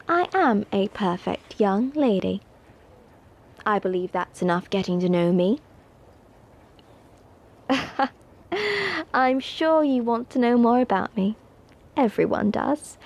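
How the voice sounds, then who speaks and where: A woman's voice: a little high, a little mellow, full character voice. A woman playing a haughty, prim upper-class schoolgirl at a wizard academy in an ASMR roleplay, snooty, scolding and teasing. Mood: smug, haughty. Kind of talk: ASMR roleplay addressed to the listener.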